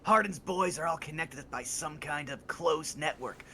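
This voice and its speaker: A man voicing a furtive, eager male street contact in a video game, speaking in quick, conspiratorial bursts. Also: on the high side, bright, forceful tone, slight background noise, full character voice. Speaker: co-player reading character lines.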